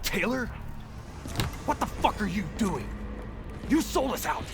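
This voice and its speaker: A woman's voice: medium pitch, big pitch swings, unhurried, music in the background. An actress playing a betrayed female intelligence officer in a video game cutscene, outraged and urgent. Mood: furious, desperate.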